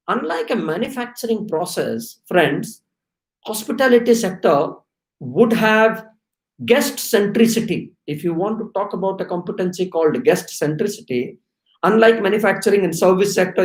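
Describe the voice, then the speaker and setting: A man's voice: high-pitched, roomy sound, rough recording. A man in his forties with an Indian English accent, whose first language is Oriya, hosting a live-stream talk in a chatty style full of fillers. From India, Odisha. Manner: chatty, many fillers like "you know" and "uh". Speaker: YouTube channel host, HR professional.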